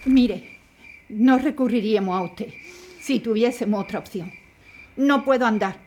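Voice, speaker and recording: Audio drama: defiant, imploring, grief-stricken mother played by a woman, high-pitched. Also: measured pace, darkish tone, slight background noise, lightly acted. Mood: desperate, defiant. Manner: reproachful, imploring. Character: exhausted, grieving mother, desperate and defiant.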